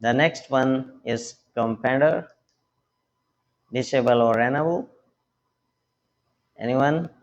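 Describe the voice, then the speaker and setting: A man presenting a hands-on, step-by-step technical tutorial, speaking instructionally in short, halting phrases. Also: mid-range voice, highs cut off. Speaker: tech tutorial host.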